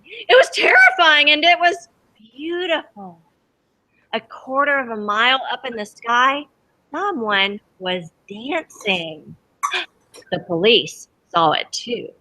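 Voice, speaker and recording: A woman reading a storybook aloud to children as a teacher, expressive and dramatic, stopping to ask them questions. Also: fairly high pitch, rough recording. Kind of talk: animated storybook read-aloud to a class. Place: school library with children.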